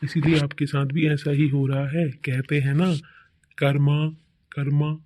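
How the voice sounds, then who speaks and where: A man's voice: on the high side, flat delivery, a little slow, darkish tone, natural voice. A man playing a gossipy village busybody in a cartoon, teasing and needling with mock friendliness. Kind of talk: cartoon character dialogue.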